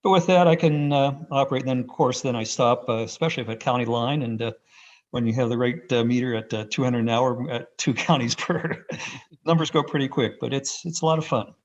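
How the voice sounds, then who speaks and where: A man giving an unscripted, rambling first-person account in halting bursts, as a radio hobbyist on a video call. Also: medium pitch. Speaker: amateur radio contest operator. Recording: dull, band-limited video call audio.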